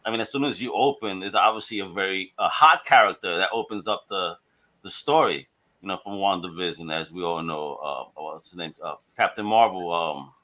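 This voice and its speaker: A man's voice: deep voice, normal speed, sharp tone. A man hosting a casual podcast chat, enthusiastic and laughing often as he asks his guests questions. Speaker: podcast host.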